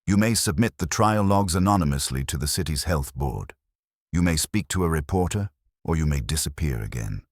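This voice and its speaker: A man playing a stout, older detective who speaks in precise, formal, measured sentences. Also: very deep voice, animated, no room sound, clean studio sound.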